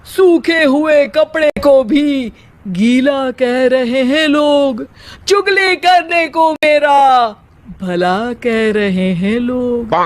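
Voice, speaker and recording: Woman playing slow-talking, theatrical, lazy young husband. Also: high voice, pitched above the performer's own voice. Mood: smug declaiming. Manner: declaims in rhyming couplets, theatrical. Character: lazy, theatrical young married man who spouts comic rhyming poetry. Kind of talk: cartoon story acting.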